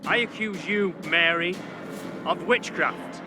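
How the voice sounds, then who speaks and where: An actor playing an authoritative seventeenth-century judge in a video game, speaking formally and with measured, declamatory weight. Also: male voice, high voice, music in the background. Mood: stern accusation. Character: solemn, authoritative 17th-century witch-trial judge. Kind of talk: dramatic video game acting.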